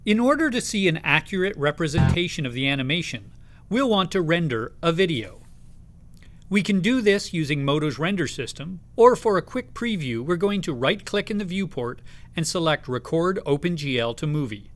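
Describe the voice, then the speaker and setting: Lively, higher-pitched man narrating a tutorial. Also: normal speed. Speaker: software tutorial presenter.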